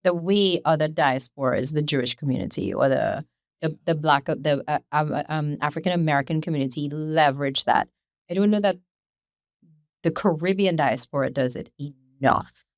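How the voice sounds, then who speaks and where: A woman's voice: low voice, moderate pace, no echo, studio quality. A woman co-hosting a podcast, asking probing questions and thinking aloud with fillers. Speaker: podcast co-host. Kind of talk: casual interview chat.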